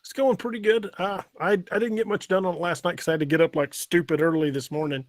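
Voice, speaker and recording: A man's voice: high-pitched, steady tone, fast. A man hosting a casual livestream, informally chatting and reading out comments from listeners with some salty language. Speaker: podcast host reading live chat comments.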